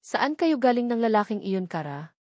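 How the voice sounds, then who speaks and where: A woman's voice: fairly high pitch, sing-song, normal speed, darkish tone, no echo, clean studio sound. A woman with a Filipino accent voicing a jealous young husband who is insistent and mixes English with Tagalog. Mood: stern, annoyed. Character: jealous young husband, stern then apologetic.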